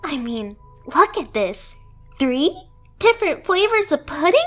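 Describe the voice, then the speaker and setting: A woman's voice: high voice, big pitch swings, measured pace. A woman voicing a submissive, obsessively devoted stray cat girl in an anime ASMR roleplay, with a girlish, eager, self-deprecating manner. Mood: awed, excited. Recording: music behind the voice. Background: music.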